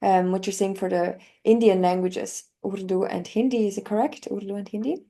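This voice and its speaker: A young woman in her twenties, a native German speaker, explains her language-learning method in an interview. Her voice is mellow and warm at an ordinary middle pitch. She talks eagerly and lays out each step with examples. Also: steady tone, moderate pace. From Northern Italy. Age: 20s. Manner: enthusiastic, explanatory, walks through steps with examples. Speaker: language coach. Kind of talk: interview conversation.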